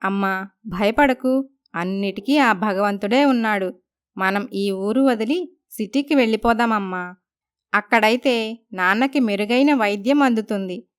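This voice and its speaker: A woman's voice: medium pitch, a little slow, pauses often, smooth, natural voice. A woman voicing a dutiful, polite young daughter, speaking earnestly in a moral story. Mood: reassuring. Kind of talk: dramatised moral story voice acting.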